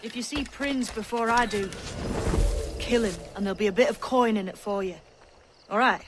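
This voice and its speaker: A woman's voice: fairly high pitch, moderate pace, noisy background, natural voice. An actress playing a brash, tough young pirate disguised as a man in a video game, blunt and defiant. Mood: brisk, businesslike. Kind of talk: video game cutscene acting.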